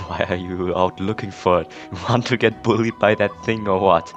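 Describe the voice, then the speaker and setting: A man with a low-pitched voice reacts casually to a video game. He is sarcastic and incredulous, talking off the cuff over faint game music. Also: steady tone, normal speed, highs cut off. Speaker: gamer, playthrough commentator.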